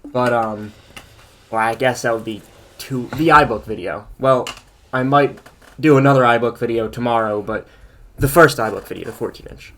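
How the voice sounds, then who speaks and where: A man's voice: medium pitch, fairly even intonation, moderate pace, fairly bright tone. A man co-hosting a casual tech podcast, opinionated and rambling as he thinks aloud. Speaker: podcast co-host.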